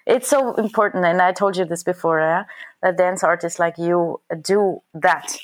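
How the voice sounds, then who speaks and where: A woman's voice: lowish voice, normal speed, rough recording. A woman being interviewed on a podcast, earnest and grateful, speaking with hesitant fillers. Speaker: podcast guest, dance researcher. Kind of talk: conversational interview.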